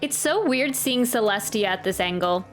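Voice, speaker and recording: A woman's voice: medium pitch, animated, normal speed. A woman doing casual gameplay commentary as a streamer, musing playfully and reading game text aloud. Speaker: gaming streamer. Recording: voice over game music. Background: game music.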